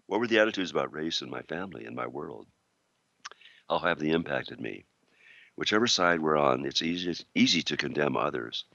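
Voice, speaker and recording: Gravelly deep man with sore throat. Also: animated, a little fast, a bit bright, no room sound, highs cut off. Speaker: radio show co-host. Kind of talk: scripted show introduction.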